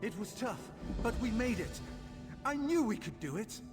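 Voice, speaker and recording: An actor playing an earnest, nervy young adventuring companion in a fantasy game, talking conversationally. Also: male voice, very high voice, big pitch swings, normal speed, music in the background. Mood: relieved, earnest. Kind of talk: scripted fantasy game acting. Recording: long reverberant hall echo.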